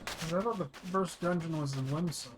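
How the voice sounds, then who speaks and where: A man's voice: a little high, brisk, background noise. A man giving casual, blunt and sweary commentary as he plays and describes a video game. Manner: reads game dialogue aloud. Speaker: video game streamer describing gameplay for blind listeners.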